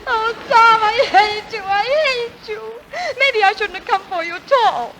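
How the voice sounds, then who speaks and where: An actress playing a quick-witted newswoman who can pose as a gushing wife, in theatrical 1940s radio style. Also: very high voice. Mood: tearful, hysterical. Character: scheming brisk American newswoman posing as a gushing wife. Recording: noisy vintage 1940s radio recording.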